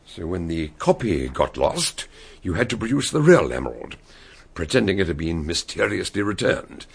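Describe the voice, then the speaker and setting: An actor playing a shrewd male detective who calmly lays out how the crime was solved in a radio drama. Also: sits low, creaky, husky, heavily acted. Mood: explaining. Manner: explaining, measured.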